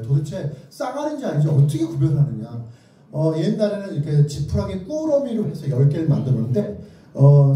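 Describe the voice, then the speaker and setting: A man's voice: a little high, warm tone, slight background noise. A man giving an interactive lecture in a theatrical storytelling manner, putting either-or questions to his listeners. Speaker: children's author and lecturer. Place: indoor lecture room with strong echo. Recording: very echoey room, distant microphone.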